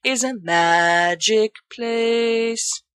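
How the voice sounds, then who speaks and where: A restless teenage boy from a storybook, put on by a woman reader, grumbling at himself in a tuneless mutter. The voice keeps a bright edge. The sound is dry. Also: a little high, very slow, clear, resonant tone, no room sound, pitched above the performer's own voice. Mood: frustrated.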